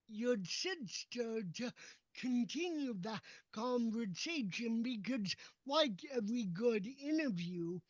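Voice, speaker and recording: A woman hosting an interview, whose first language was sign language, speaking earnestly and deliberately. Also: a little high, no room sound. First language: American Sign Language. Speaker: podcast host. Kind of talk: casual interview chat. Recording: dull lo-fi dry sound.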